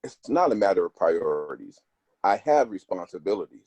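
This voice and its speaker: A man's voice: low-pitched, sing-song, a little fast, a little mellow, no echo. An actor at a table read playing a serious professional man who speaks firmly. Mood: defensive. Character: stern male law firm boss, measured and firm; also plays a frustrated long-distance boyfriend.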